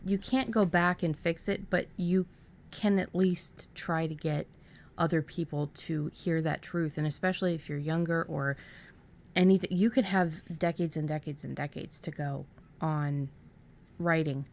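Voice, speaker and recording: A woman's voice: sits low, fairly even intonation, a little slow, a little mellow. A woman co-hosting a podcast, giving casual, encouraging advice and repeating words for emphasis. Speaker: podcast co-host.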